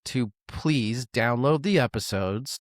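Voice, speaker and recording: A man's voice: mid-range voice, lively intonation, slow, no room sound, clean studio sound. A man co-hosting a music podcast, chatting casually with sarcastic jokes and swearing. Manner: joking, profane, sarcastic. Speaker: podcast co-host.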